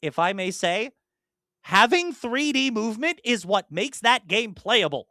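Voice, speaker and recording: A man's voice: high-pitched, big pitch swings, unhurried, pushed and bright, no room sound, clean studio sound. A young man and podcast co-host chatting casually about video games, rambling through detailed explanations with many ums and restarts.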